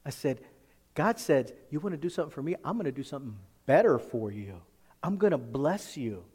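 A man, a pastor, tells a personal story in a conversational, halting sermon style, often acting out the dialogue. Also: fairly high pitch, animated, a little mellow, breathy edge. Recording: echoey hall with long reverb.

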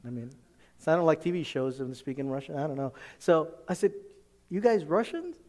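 A man, a pastor, tells a personal story in a conversational, halting sermon style, often acting out the dialogue. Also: medium pitch, darkish tone. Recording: echoey hall with long reverb.

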